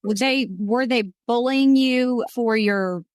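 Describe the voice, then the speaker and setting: Woman host with soft affirmations. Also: on the high side, slow pace, no echo, clean studio sound. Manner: brief affirming interjections, gentle probing. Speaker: podcast host. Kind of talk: interview listening and questions.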